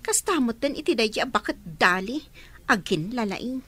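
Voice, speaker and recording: A spoiled young Filipino woman in a radio drama. Her voice is very high, piercing and swoops dramatically as she bosses people about. She is haughty, cruel and quick to scold. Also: lively intonation, fairly bright tone. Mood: haughty, defiant. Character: spoiled, bossy, cruel young woman, domineering elder sister.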